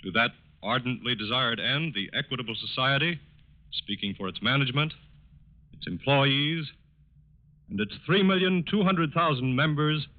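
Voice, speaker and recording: Formal American announcer, vintage lo-fi radio. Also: male voice, medium pitch. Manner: formal, measured, solemn. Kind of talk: scripted 1940s radio announcing. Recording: dull lo-fi 1940s radio recording.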